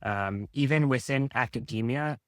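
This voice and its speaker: A man's voice: medium pitch, moderate pace, dry recording, clean studio sound. A man in his thirties from Canada, Italian his first language, answering interview questions as a startup founder with many fillers and restarts.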